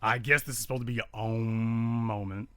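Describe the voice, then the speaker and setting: A man hosting a call-in radio show, with a bright, forceful voice. He fires off quick jokes and teases his callers. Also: medium pitch, steady tone, clear, resonant tone, no room sound. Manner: quick, joking, teasing callers. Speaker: radio talk show host.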